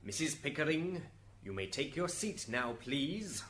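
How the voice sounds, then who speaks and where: A man's voice: fairly high pitch, sing-song, a little slow, fairly bright tone. A stern, authoritative saint presides over a radio-play court of the afterlife, acted by a man. His manner is curt and formal. The voice rings with room echo over faint background noise. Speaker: judge. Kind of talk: radio drama acting.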